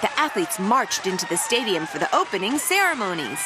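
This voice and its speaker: A woman reading a children's picture book aloud in an animated, theatrical manner with dramatic emphasis. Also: medium pitch, fairly quick, crowd noise, background noise. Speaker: storybook reader.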